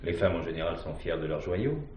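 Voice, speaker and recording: An actor playing a police commissioner in a 1970s radio drama, questioning witnesses with courteous insistence. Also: male voice, deep voice, sing-song, fairly quick, a little mellow, slight background noise. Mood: probing.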